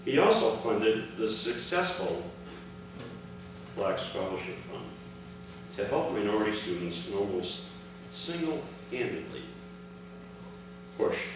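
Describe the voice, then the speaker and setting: An older man reading a prepared speech aloud to a gathering in measured, separate phrases. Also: low-pitched. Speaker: speaker reading his father's account at an award ceremony. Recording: echoey, noisy hall recording from far off.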